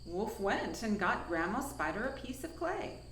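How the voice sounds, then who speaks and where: A woman reading a children's story aloud with dramatic, deliberate emphasis. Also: on the high side, big pitch swings, fairly bright tone. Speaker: storyteller from a science museum. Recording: echoey room, faint insect-like noise.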